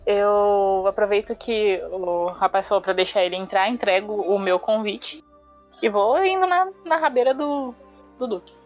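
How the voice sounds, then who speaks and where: A woman's voice: mid-range voice, measured pace, lo-fi sound, faint music behind. A woman with a Brazilian accent playing a tabletop role-playing game, casually improvising as her character.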